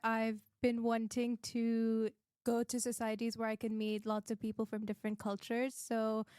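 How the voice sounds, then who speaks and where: A young woman from Pakistan, a student guest, speaking in a measured, steady way. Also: on the high side, no echo, studio quality. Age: young adult. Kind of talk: casual chat.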